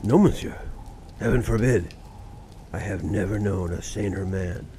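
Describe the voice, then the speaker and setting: An actor playing a loyal family doctor in a radio suspense drama, speaking formally and deferentially. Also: male voice, on the low side, measured pace, noisy background, natural voice. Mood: solemn, deferential.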